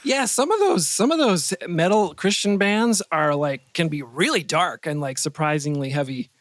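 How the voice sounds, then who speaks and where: A man's voice: on the high side, animated, normal speed, fairly bright tone, no room sound. A man speaking as an interview guest in a casual, modest, chatty way. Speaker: podcast guest, composer.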